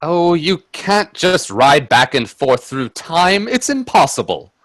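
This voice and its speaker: A hesitant gentleman in a radio play, polite and deferential but full of disbelief. His voice swings up and down expressively as he stammers, and it is recorded close and dry. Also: fairly high pitch, very animated, takes it slowly, no echo, exaggerated voice. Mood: skeptical. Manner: stammering, nervous chuckles, deferential. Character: hesitant, skeptical gentleman guest, nervously polite and incredulous. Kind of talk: radio play acting with narration.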